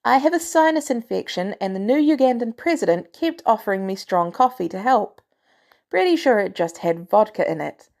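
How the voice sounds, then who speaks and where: A woman's voice: on the high side, sing-song, moderate pace, gravelly, darkish tone. A woman voicing a brusque, commanding female agency director in an audiobook story, blunt and sardonic with an air of authority. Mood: irritated, brusque.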